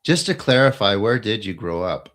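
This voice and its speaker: A man hosting an interview, asking relaxed and curious questions. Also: on the low side, normal speed. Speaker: podcast host. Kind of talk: casual interview conversation.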